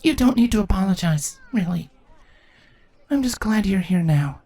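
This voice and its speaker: Woman acting an earnest, expressive young pony princess. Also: medium pitch, moderate pace. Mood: grateful. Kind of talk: dramatic fan fiction audio reading.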